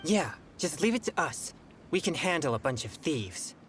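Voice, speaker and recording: A man's voice: on the high side, big pitch swings, normal speed, fairly bright tone, slight background noise. A man voicing an earnest, serious teenage boy in a video game, speaking in a straightforward way. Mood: confident. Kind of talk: English video game voice acting.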